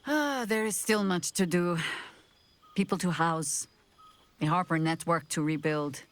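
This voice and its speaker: An actress playing an older, battle-worn woman who leads freedom fighters in a video game, speaking in a measured, dry, gently wry way. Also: lowish voice, lightly acted. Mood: weary, resolute. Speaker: leader of a secret order. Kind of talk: video game character acting.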